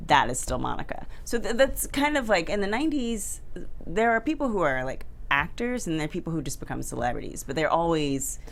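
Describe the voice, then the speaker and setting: A woman with a notably low voice talks as one of several panelists. Her delivery is informal and unscripted. The recording carries faint background noise. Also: sing-song, a little fast, vocal fry. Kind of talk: casual panel discussion.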